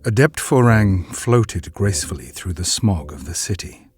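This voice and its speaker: A man narrating a scripted drama in a grave, deliberate storytelling manner. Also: deep voice, lively intonation, faint music behind.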